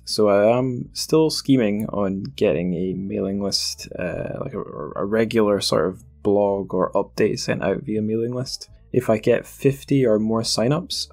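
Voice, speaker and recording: Low-pitched man hosting a casual podcast. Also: vocal fry, darkish tone. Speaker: podcast host. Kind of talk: casual group chat. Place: remote group call.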